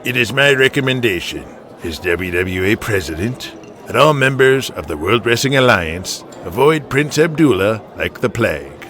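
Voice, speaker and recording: A man voicing an older, authoritative wrestling alliance president in an audio drama, weary, measured and deliberate. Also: lowish voice, lively intonation, a bit bright, slight background noise. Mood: firm.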